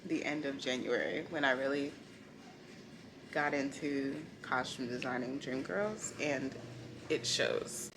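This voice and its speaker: Low, creaky-voiced woman vlogging casually. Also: slow pace, background noise. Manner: conversational, candid, informal. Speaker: vlogger, costume designer. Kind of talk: casual personal vlog chat. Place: indoors at home.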